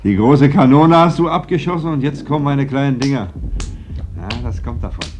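An actor playing a genial, teasing uncle in a German radio play. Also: male voice, mid-range voice, expressive, normal speed, lightly acted. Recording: muffled, very noisy old radio recording.

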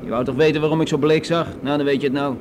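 An actor in a radio play playing a pushy, cagey airline passenger who talks in a blustering, slangy, repetitive way. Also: male voice, on the high side, steady tone, very fast, lightly acted. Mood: agitated, insistent. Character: pushy, cagey male passenger spinning paranoid mafia tales. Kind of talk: Dutch radio play acting. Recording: loud aircraft cabin noise behind.

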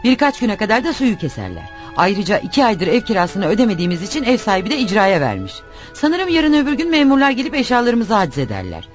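Radio play wife: reproachful, insistent, sarcastic, very animated delivery, faint music underneath. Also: female voice, medium pitch, quick pace. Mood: reproachful, worried. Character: nagging, reproachful wife pushing her jobless husband. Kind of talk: radio theatre acting.

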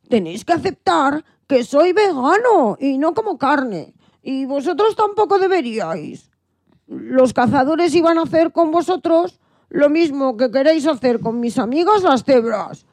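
Woman as an earnest, high-voiced young crocodile. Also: sing-song, measured pace, a little mellow, dry recording, heavily acted. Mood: earnest pleading. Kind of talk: children's radio play.

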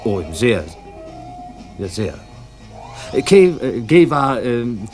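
An actor playing a naive young upper-class man, vain and easily offended, in a halting 1960s German radio crime drama performance. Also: mid-range voice, big pitch swings, a little mellow, faint music behind. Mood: flustered, earnest. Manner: hesitant, halting, affronted.

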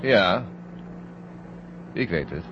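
Brusque astronaut under hypnosis, commanding, clipped, radio-play style; muffled old recording with heavy cabin hum. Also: male voice, deep voice, animated, very slow, full character voice. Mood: cold, obedient. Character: brusque hypnotized astronaut piloting a moon craft, obedient to orders. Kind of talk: 1970 Dutch science-fiction radio drama acting.

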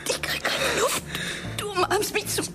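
An actress playing a frightened but outspoken teenage schoolgirl in a modern radio drama, moving between whispers and sharp retorts. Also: very high voice, moderate pace, over loud music. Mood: frightened, whispering.

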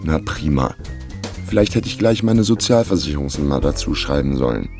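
A man's voice: very deep voice, animated, fairly quick, darkish tone, soft background music. An actor playing a wry, broke young Berlin man who narrates his own misadventure in a dry, laconic radio play style. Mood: rueful, dry. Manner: dry, laconic, self-ironic. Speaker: narrator of a radio crime drama.

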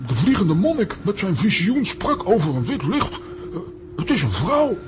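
Flustered pompous comic adventurer, high, swooping voice, hesitant, self-important, children's audio play, faint noise. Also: male voice, huge pitch swings, normal speed. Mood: baffled, exasperated.

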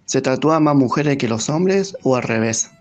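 A man's voice: mid-range voice, lively intonation, moderate pace, soft background music. A man putting short prepared questions to a guest as a radio interviewer.

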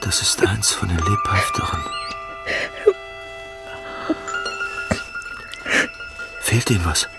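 Gravelly, reserved gamekeeper. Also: male voice, low-pitched, brisk, loud music behind the voice. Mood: tender. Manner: terse, hesitant. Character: reserved, tender male gamekeeper, humble servant lover. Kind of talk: radio drama acting.

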